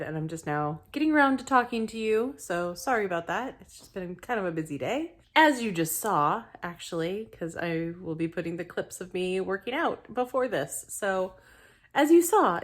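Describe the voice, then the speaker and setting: Raspy-voiced woman chatting to camera. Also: medium pitch. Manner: chatty, self-deprecating, laughs often. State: raspy voice from lingering illness, coughing. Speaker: vlogger. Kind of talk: casual weight-loss vlog to camera.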